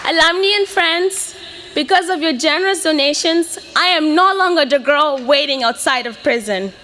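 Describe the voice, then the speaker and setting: A woman's voice: high voice, pushed and bright, a little hiss or hum. A young woman in her twenties from Mozambique, whose first language is Portuguese, giving an earnest prepared speech to an audience. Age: 20s. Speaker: student speaker.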